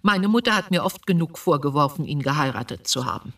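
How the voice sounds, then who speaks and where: A woman's voice: sits low, animated, fairly quick, a bit bright, distant mic, exaggerated voice. An actress playing a stern, reproachful, domineering mother of a grown son in a radio drama. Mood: defensive, scornful. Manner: severe, accusing.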